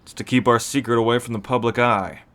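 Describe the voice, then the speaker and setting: A man playing a seasoned, calm werewolf who explains pack ways matter-of-factly in an ASMR roleplay. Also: medium pitch, fast talker, natural voice.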